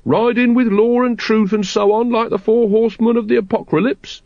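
A man voicing a dour, blunt working-class male cult member in a raised, high voice, in a dry, dull recording. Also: steady tone, normal speed, gravelly, no echo. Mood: scoffing.

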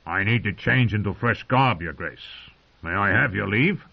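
A man voicing a weary dwarf nobleman who speaks quietly with dry, sardonic wit. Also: on the low side, lively intonation, moderate pace, creaky, husky, highs cut off, full character voice. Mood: deferential.

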